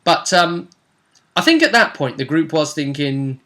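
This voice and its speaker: A man's voice: on the high side, very animated, a little slow, pushed and bright. A man acting as game master, chattily recapping a tabletop role-playing session in an informal, rambling way. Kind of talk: casual conversational recap.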